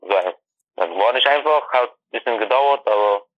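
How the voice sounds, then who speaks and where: A man who grew up in Iran, answering interview questions in halting speech full of restarts, heard over a video call from his family's apartment. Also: mid-range voice, no room sound. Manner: halting, restarts and self-corrections. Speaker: interview guest. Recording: dry lo-fi video call audio.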